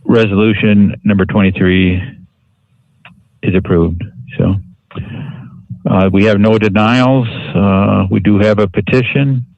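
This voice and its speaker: A man chairing a county board meeting in formal procedural language, with a somewhat halting delivery. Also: on the low side, steady tone, creaky, no echo, highs cut off.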